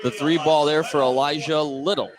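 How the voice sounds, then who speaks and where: A man's voice: fairly high pitch, steady tone, normal speed, crowd noise, rough recording. An American man doing live play-by-play basketball commentary on the radio. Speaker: play-by-play announcer. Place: indoor high school gymnasium. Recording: faint gym noise behind. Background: faint gym ambience.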